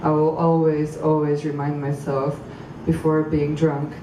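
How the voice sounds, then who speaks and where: A woman from Croatia reading poems at a public event, halting and thoughtful between poems and measured while reading. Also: sits low, completely flat delivery. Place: outdoor public venue. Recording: echoey, far from mic, street noise.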